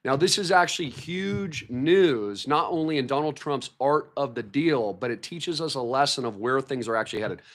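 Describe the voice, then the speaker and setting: A man hosting a livestream, his voice hoarse from a recent cold, talking in an excited, repetitive way about market news. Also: mid-range voice, normal speed, hardly any pauses. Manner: hyped, repeats words like massive and shocking. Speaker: livestream host.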